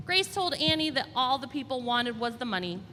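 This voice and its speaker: A woman storyteller reading a children's storybook aloud in an expressive, deliberate way. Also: high-pitched. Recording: reverberant hall, faint background noise.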